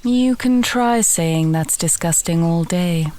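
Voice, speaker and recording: A woman playing a friendly, teasing village alchemist in a fantasy audio roleplay, talking gently and conversationally to the listener. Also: lowish voice, slow pace. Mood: delighted, instructive. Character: friendly female village alchemist, warm, teasing, earthy, encouraging.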